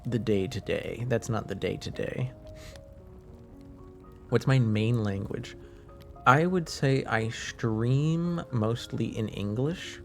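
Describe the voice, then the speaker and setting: A native French-speaking man hosting a relaxed livestream. His voice has a creaky, gravelly edge and sounds a little under the weather. He talks slowly and haltingly, trailing off often, with faint music playing behind him. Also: mid-range voice. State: slightly under the weather, deeper than usual, clearing throat. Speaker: live streamer, host. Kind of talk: casual livestream chat with viewers.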